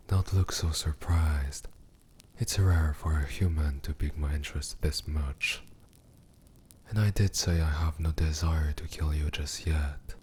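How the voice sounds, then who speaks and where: An extremely deep, dark demon king played by a man speaks slowly and deliberately in an ASMR roleplay. He is cruel and possessive, with a condescending, coolly amused menace. The voice is mellow and breathy, over a faint low rumble. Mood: smug, possessive. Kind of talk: scripted ASMR character roleplay.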